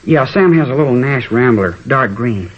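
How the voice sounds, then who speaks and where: An actor playing a troubled, hesitant high school boy who cooperates as a witness in a 1950s radio drama. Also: expressive, moderate pace, close to an everyday voice. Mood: forthcoming. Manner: hesitant, slangy. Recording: muffled vintage radio recording.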